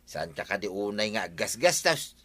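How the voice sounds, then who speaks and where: A man's voice: lowish voice, very animated, normal speed, a bit bright. A man with an Ilocano Filipino accent playing a thrifty husband in a comedy radio drama. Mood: earnest, insistent.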